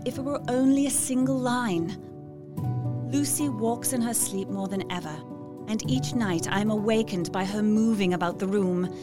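A woman's voice: fairly high pitch, close to an everyday voice. A woman playing an anxious, wistful young Victorian lady in an audio drama, reading her journal in a proper, earnest way. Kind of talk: dramatic first-person journal narration.

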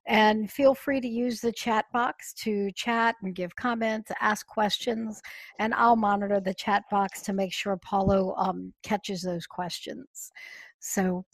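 A woman's voice: mid-range voice, fairly even intonation, slow pace, dry recording. Enthusiastic woman hosting an online session. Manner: friendly, enthusiastic, hesitant fillers. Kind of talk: introducing a speaker on a video call. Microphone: computer mic on video call.

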